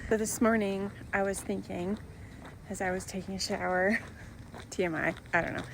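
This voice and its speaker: A woman's voice: medium pitch, steady tone, moderate pace, gravelly, fairly bright tone, a little hiss or hum, poor audio. A middle-aged woman chatting casually and in a rambling, giggly way as she films a vlog. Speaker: vlogger. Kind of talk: casual chat to camera.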